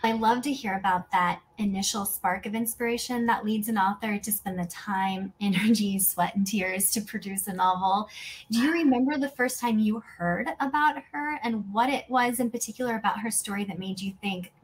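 A woman's voice: medium pitch, steady tone, moderate pace, a little hiss or hum, low-quality recording. A woman in her thirties hosting an interview, asking prepared questions in a conversational way. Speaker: podcast host and interviewer. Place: home room, indoors, on a video call.

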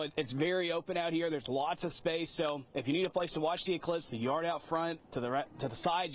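A young man giving an upbeat, rambling live TV field report outdoors at a small airport, talking into a handheld mic. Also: a little high. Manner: upbeat, rambling, repeats "cool".

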